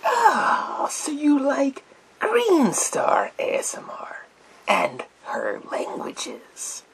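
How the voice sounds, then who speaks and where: A man voicing a menacing psychic villain in a gas mask, in a theatrical, drawn-out ASMR roleplay whisper with a creaky edge. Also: extremely high pitch, wildly swooping pitch, fairly bright tone, heavily acted, poor audio. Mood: probing, sly. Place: small indoor room with bookshelves.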